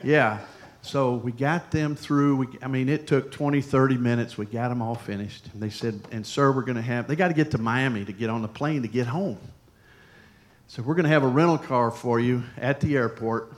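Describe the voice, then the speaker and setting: A man, a pastor, preaching a sermon in a conversational, storytelling way with lively asides to the congregation. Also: mid-range voice, normal speed.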